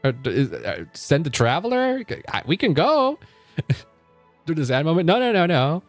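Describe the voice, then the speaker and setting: A man's voice: fairly high pitch, wildly swooping pitch, moderate pace, faint music behind. A man doing casual live commentary on a game as a streamer, chatty and reacting aloud with exclamations and repeated words. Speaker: livestreamer.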